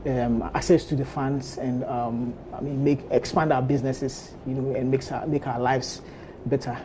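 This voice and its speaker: A man with a Ghanaian accent answering interview questions in halting, repeated phrases. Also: medium pitch, little pitch movement, background noise. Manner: hesitant, halting phrases with repetitions. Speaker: fashion designer, studio guest.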